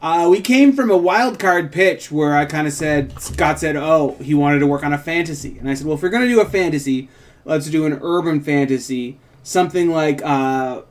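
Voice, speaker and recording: A man's voice: fairly high pitch, normal speed. A man co-hosting a show, chatting casually in a loose, rambling way. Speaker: show co-host. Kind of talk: casual co-hosted chat.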